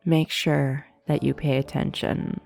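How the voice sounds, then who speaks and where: A woman playing a haughty demon duchess in an ASMR roleplay, speaking formally with teasing menace and often dropping into a breathy whisper, close on a binaural mic. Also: low-pitched, flat delivery, unhurried, exaggerated voice. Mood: teasing amusement. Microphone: close binaural microphone. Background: soft piano music.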